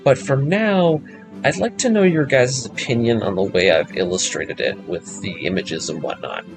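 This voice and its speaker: A man with a mid-pitched voice hosts a story recap series over clearly audible background music. He narrates in a scripted storytelling style and mixes in casual, fan-style commentary. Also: moderate pace. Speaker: host of an anime story breakdown series.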